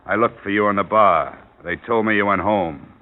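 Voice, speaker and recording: A man's voice: fairly low pitch, moderate pace, natural voice. An actor playing a dry, tough, persistent investigator who narrates his own case in an old radio crime drama. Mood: angry. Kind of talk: hardboiled 1940s-50s radio narration and acting. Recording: muffled old radio recording.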